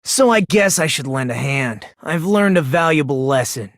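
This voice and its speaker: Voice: mid-range voice, animated, normal speed, no echo, studio quality, natural voice. An actor voicing a polite but unsettling teenage boy in a video game, self-deprecating with a smug, condescending streak. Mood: smugly confident.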